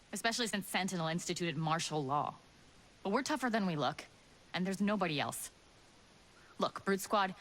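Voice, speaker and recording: An actress playing a tough, sardonic rebel leader in a video game, wry and resolute. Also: female voice, medium pitch, fairly bright tone. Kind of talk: video game cutscene acting.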